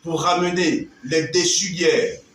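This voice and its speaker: A man, leading a political movement, giving an emphatic public speech with measured pauses. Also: on the high side, fairly even intonation, a bit bright, slight background noise. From Gabon. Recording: echoey, distant mic with faint ambience.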